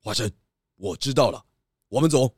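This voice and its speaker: An actor playing a calm, clever male pig detective in a children's audio drama. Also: medium pitch, moderate pace, husky, no echo, studio quality. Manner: steady, knowing.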